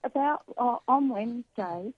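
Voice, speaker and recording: A woman with an Australian accent and a husky voice, calling into a radio show and speaking haltingly. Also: fairly high pitch, lively intonation, no echo. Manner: hesitant, halting. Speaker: caller. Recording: phone line.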